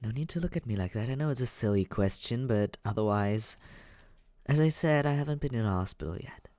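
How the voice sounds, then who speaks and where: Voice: mid-range voice, lively intonation, brisk, a little mellow, dry recording, clean studio sound, natural voice. An actor voicing a teasing but caring young companion in an intimate, conversational ASMR comfort roleplay, hesitant and reassuring. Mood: playfully insistent.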